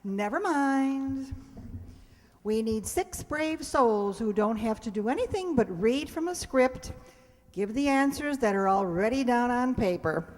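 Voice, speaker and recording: A woman's voice: a little high, expressive, a little slow, a little mellow. Woman hosting live in a reverberant hall. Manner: informal, coaxing, ad-libbed asides. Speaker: host of a live show. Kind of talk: live stage announcing to an audience. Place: hall before a live audience.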